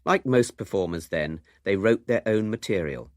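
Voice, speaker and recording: A man's voice: lowish voice, expressive, normal speed, no room sound, studio quality. A man with a British accent reading scripted narration for a radio documentary.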